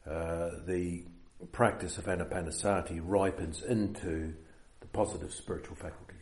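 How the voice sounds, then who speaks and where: A man with a New Zealand accent leading a meditation retreat, giving an unhurried dharma talk with many fillers. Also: low voice, steady tone, a little mellow, roomy sound.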